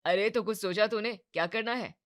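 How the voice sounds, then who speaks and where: Woman as a loud, pushy young city man. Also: medium pitch, fairly quick, voice pushed up, lightly acted. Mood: prodding. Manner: insistent, persuasive. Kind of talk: Hindi animated moral story dialogue.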